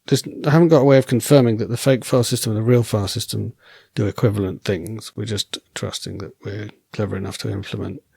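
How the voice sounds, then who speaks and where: A man thinking aloud while writing code as a programming tutor, relaxed and wry, correcting himself as he goes, and losing his voice. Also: on the low side, quick pace, creaky, hardly any pauses, husky, no echo.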